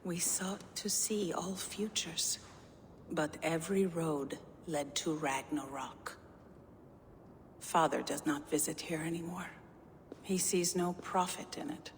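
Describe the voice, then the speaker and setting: Slow, hushed, solemn seeress. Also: female voice, low voice. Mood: solemn, haunted. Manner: grave, halting, mysterious. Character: solemn, reclusive young seeress, daughter of a giant lord. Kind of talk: video game voice acting. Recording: long echoing reverb, faint noise.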